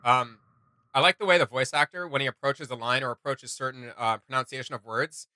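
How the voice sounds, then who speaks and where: Male reaction host: bright, forward voice, medium pitch, joking and rambling, casual. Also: fairly quick, smooth, no room sound. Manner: animated, joking, rambling asides.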